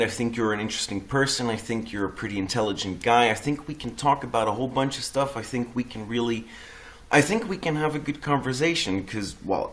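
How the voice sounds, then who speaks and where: A man's voice: medium pitch, a bit bright. A man from the Netherlands chatting casually as a guest, hesitant, often restarting his sentences and swearing offhandedly. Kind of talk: casual chat.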